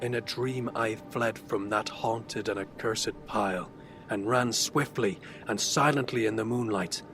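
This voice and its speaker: Guy doing a tormented loner narrating gothic horror, theatrical n intense, dark ambient music under it. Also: lowish voice, measured pace. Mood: bleak, resigned. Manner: theatrical, ornate, swelling into intense emphasis. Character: tormented reclusive outcast recounting his horror, male. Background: dark fantasy ambience music.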